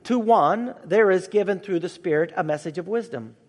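High-pitched male pastor in a reverberant hall. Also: normal speed. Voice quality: slightly raspy. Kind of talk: sermon.

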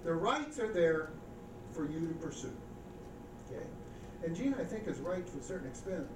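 Brief: male pastor, somewhat high voice, mid-sermon, picked up from a distance in a reverberant church with audible noise. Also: sing-song, normal speed. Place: church sanctuary. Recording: echoey church recording from far off with background noise.

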